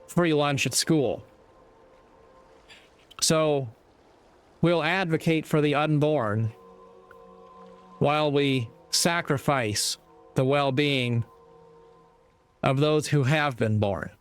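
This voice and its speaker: A man delivers a reflective moral monologue at a slow, measured pace. His voice is clean and resonant, and he breaks his thoughts into short, deliberate phrases. Soft music sits faintly underneath. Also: on the high side, clear, resonant tone. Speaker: commentator giving a moral talk.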